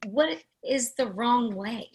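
A woman's voice: a little high, animated, takes it slowly, a little mellow. A woman playing a sensitive, anxious office worker in a play reading, with a fretful, hesitant delivery. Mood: worried.

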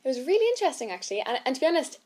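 A woman's voice: high voice, very animated, very fast, darkish tone. Young Irish woman in casual interview. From Ireland. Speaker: actress, interview guest.

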